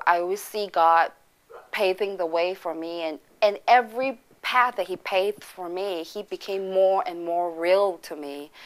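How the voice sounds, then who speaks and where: A woman from Singapore, giving an earnest personal faith testimony as a church member, pausing often as she reflects. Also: fairly low pitch.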